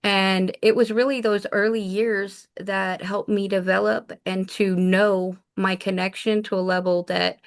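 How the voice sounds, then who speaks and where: A woman guest in a casual interview recounts her past in an earnest, reflective manner. Her voice sits at a middle pitch with a gravelly, creaky edge. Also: flat delivery, unhurried, few pauses. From Japan. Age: adult mother of four. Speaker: guest, shaman.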